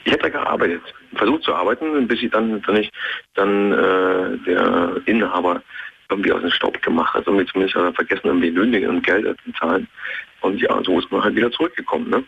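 Rambling male caller, quick and a bit husky, on a muffled phone line. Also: deep voice. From Görlitz, Germany. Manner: rambling, evasive, trailing off mid-sentence. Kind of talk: phone-in chat.

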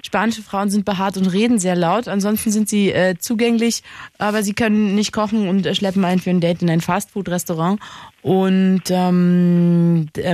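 A woman's voice: mid-range voice, warm tone, no room sound. Female call-in host, playful and needling, dead dry studio mic. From Saxony, Germany. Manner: teasing, quick-witted, bantering. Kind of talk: call-in radio chat.

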